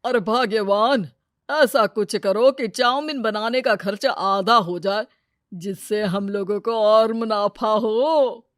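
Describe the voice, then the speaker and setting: A woman's voice: medium pitch, slow, no echo, clean studio sound, lightly acted. A woman voicing a greedy, scheming middle-aged male moneylender in a Hindi cartoon story, brusque and exclamatory. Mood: gloating, scheming.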